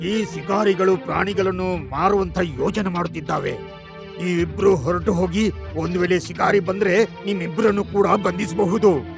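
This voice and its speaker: A man voicing a commanding, reassuring lion king of the forest in an animated animal story, with a gravelly voice. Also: high voice, normal speed, music in the background. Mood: grave warning.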